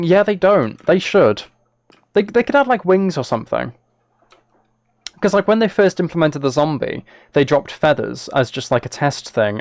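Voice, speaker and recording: A man's voice: a little high, no echo, clean studio sound. A man chatting casually on a live game stream, thinking aloud as he plays and talking to his viewers.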